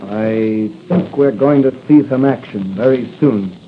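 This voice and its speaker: An actor playing a cool, brisk masked crime-fighting hero in 1940s radio style. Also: male voice, medium pitch, natural voice. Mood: cool, curious. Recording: muffled noisy 1940 radio recording.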